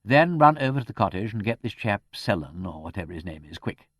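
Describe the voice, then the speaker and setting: A man voicing a commanding aristocratic gentleman in an audiobook, with an upper-class English accent and a brisk, wry, authoritative manner. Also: fairly low pitch, very animated, no room sound, clean studio sound.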